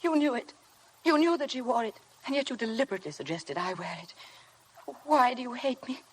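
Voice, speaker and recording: An actress playing a meek, anxious young wife in a 1950s radio drama, breathless and earnest. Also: female voice, a little high, lively intonation, brisk, breathy edge. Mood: hurt. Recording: vintage 1950 radio broadcast.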